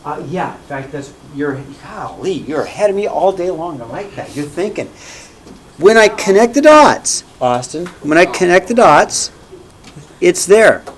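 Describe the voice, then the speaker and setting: A man teaching a math class, lively and repetitive, often checking in with "okay?" and "right?". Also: high-pitched, a little slow. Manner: energetic, repeats key points, asks "okay?" and "right?" often. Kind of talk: classroom lecture.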